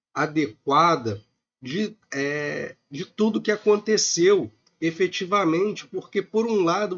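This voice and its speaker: A male lecturer with a Brazilian accent speaks in a fairly high, slightly hoarse voice, stretching his sentences with filler sounds. The audio is dull and lo-fi, like a home webcam recording. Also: a bit bright. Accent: Brazilian Portuguese. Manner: informal, many filler sounds. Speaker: economics lecturer.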